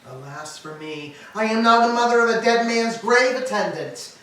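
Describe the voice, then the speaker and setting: A woman's voice: fairly high pitch, lively intonation, moderate pace, a bit bright. A woman playing a grieving, proud old enslaved queen, declaiming laments in a staged reading of Greek tragedy. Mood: troubled. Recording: distant, echoey hall with background noise.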